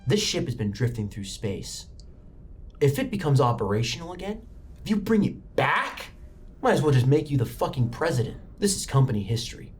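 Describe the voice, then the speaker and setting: A man's voice: medium pitch, very animated, fairly quick, a little hiss or hum. A man working as game master, narrating a tabletop roleplaying game in a dramatic, immersive way. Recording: music bed under the voice. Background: background music.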